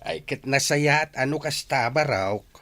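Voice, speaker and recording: A man's voice: a little high, unhurried, fairly bright tone. A man playing a kindly, wise older father giving counsel in an Ilocano radio comedy drama. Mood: gentle, advising.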